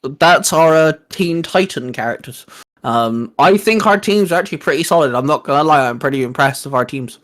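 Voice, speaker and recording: A man with a slightly high voice chats casually as the co-host of a geeky show. He has a teasing, sarcastic streak to his banter. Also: lively intonation, fairly quick, fairly bright tone.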